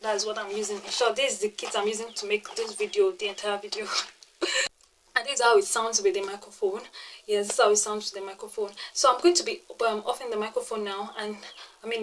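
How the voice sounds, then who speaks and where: A young woman with a Nigerian English accent talks a beginner through video tips in a relaxed, rambling way. She repeats key lines and fills pauses with ums, and her voice sits at an ordinary middle pitch. She records indoors in a plain room on a small external mic. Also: background noise. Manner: chatty, repeats herself for emphasis, frequent ums. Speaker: tech and lifestyle YouTuber. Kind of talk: casual tutorial to camera. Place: plain-walled bedroom, indoors. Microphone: small external clip-on mic.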